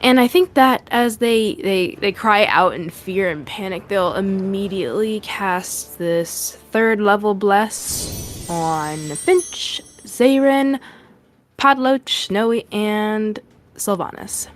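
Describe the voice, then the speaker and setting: A woman's voice: medium pitch, slow pace. A woman taking her turn as a player in a tabletop fantasy game, describing what her character does. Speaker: tabletop role-play player. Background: background music.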